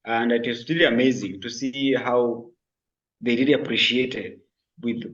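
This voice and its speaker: A man's voice: mid-range voice, steady tone, measured pace, a bit bright. A young man telling a personal experience as a podcast guest. He speaks hesitantly, with many fillers and restarts. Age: young adult. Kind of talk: casual spoken testimony. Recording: muffled sound from a distant mic.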